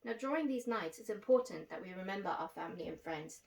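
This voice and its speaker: A woman speaks as the host of a children's religious programme, giving simple step-by-step instructions at a medium pitch. Her voice sits back from the microphone in a noticeably roomy, echoey space. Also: lively intonation, fast, vocal fry, a little mellow. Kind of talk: instructional talk addressed to children. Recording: roomy echo, distant microphone.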